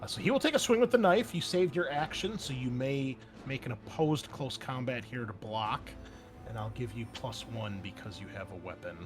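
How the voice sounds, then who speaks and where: A man acts as game master for a tabletop roleplaying game. His delivery is casual and descriptive with a wry streak, at a middling pitch. Music plays faintly behind him. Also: lively intonation, normal speed. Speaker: game master and show host.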